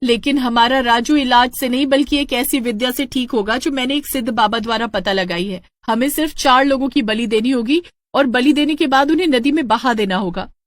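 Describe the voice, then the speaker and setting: A woman's voice: fairly high pitch, brisk, few pauses, no room sound, studio quality, voice pushed up, lightly acted. A woman voicing a domineering, superstitious village wife and mother in a cartoon story, nagging and insistent. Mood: stern, insistent. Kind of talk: Hindi cartoon story dialogue.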